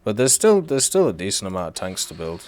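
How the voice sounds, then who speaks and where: A young British man whose accent has softened over years in Canada, chatting casually while streaming a game and answering chat. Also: on the low side, very animated, quick pace, slight background noise. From Britain. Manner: laid-back, rambling, answers chat. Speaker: livestreamer. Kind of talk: casual gameplay commentary.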